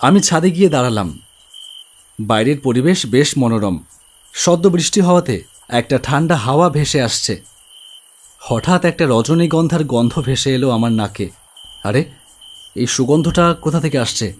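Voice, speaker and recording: A man narrating a scripted ghost story in the first person, with an expressive, suspenseful delivery. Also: mid-range voice, moderate pace, pauses often. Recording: music bed under the voice. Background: background music.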